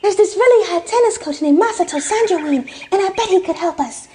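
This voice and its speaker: A woman playing an excitable, boy-crazy, boastful teenage girl in an anime dub, with melodramatic, expressive delivery. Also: very high-pitched, fairly quick, long reverb tail, slight background noise. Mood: eager.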